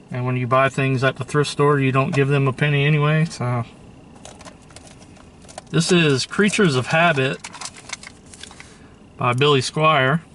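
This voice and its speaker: Male, mid-pitched, informal collector-host musing aloud with opinions, faint noise behind him. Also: fairly bright tone. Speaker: host showing a thrift store tape collection. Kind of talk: casual show-and-tell commentary.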